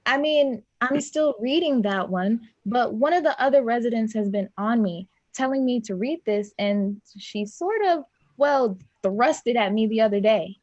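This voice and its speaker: Casting: woman playing friendly young front-desk worker in a stage drama; unhurried pace, slightly high voice, gentle and hesitant. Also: lively intonation. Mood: enthusiastic, shy. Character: friendly, shy 23-year-old woman working as lobby attendant. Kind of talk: naturalistic acting in an online staged play reading. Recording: dull, lo-fi online recording.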